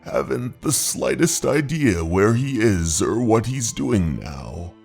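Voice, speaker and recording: A man's voice: on the low side, very animated, darkish tone, heavily acted. A man playing a desperate, aging husband obsessed with rescuing his lost wife, in a dramatic horror manga dub. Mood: haunted, weary. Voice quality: gravelly, husky. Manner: dramatic, halting monologue. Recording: voice over background music.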